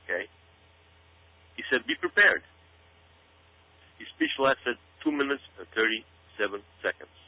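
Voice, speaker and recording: A man's voice: mid-range voice, lively intonation, unhurried, long pauses. A man giving urgent, emphatic conspiracy commentary on a talk show, repeating phrases and asking rhetorical questions. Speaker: guest commentator. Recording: tinny phone-like radio audio.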